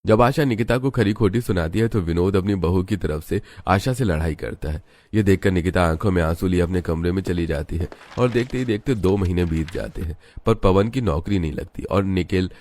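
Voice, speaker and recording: A man's voice: sits low, a little mellow. A man reading scripted narration for a family cartoon story. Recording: music bed behind the voice.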